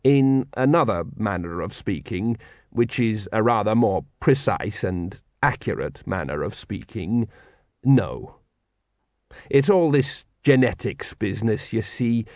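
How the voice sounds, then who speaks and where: A man's voice: on the low side, a little mellow, no echo, clean studio sound. A man doing a fumbling, worried old dwarf. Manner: hesitant, halting with ums.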